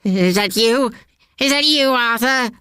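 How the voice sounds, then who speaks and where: A man voicing a sour, peevish, half-blind old aunt with a croaking voice, in a ghost story reading. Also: extremely high pitch, unhurried, bright, forceful tone, dry recording, clean studio sound, pitched above the performer's own voice, exaggerated voice. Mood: peevish, accusing. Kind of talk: dramatised audiobook ghost story.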